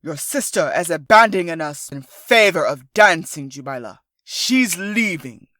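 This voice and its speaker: A woman acts out a stern, scornful father in an audiobook story. The delivery is sharp and forceful, with a very dry sound. Every line comes as an accusation. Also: fairly low pitch, animated, a little slow, no echo. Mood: bitter. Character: angry, stern, scornful father of grown daughters. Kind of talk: audiobook character dialogue.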